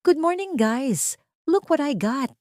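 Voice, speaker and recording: A woman's voice: sits high, animated, slow pace, a little mellow, no room sound, clean studio sound, pitched above the performer's own voice. A woman voicing a cheerful, taunting mistress in an audio drama, gushing and boastful, mixing in English. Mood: gleeful, boastful. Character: flashy, cheerful mistress showing off gifts.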